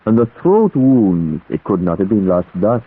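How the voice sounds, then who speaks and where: Elderly vampire hunter, lowish pitch, grave, deliberate, insistent, old radio drama, narrow tinny sound. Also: male voice, very animated, husky, heavily acted. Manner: solemn, deliberate, foreign phrasing. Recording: muffled, narrow-band old radio recording.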